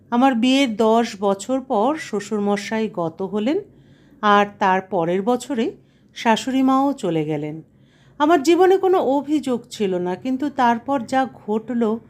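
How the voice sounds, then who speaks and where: A woman reading a scripted first-person story in an expressive, sentimental manner. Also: on the high side. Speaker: story narrator.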